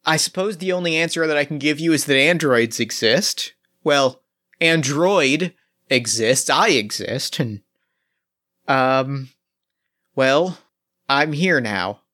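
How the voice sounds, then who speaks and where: A man voicing a male android test pilot who speaks in a halting, measured and oddly formal way. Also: a little high, bright, forceful tone. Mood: earnest.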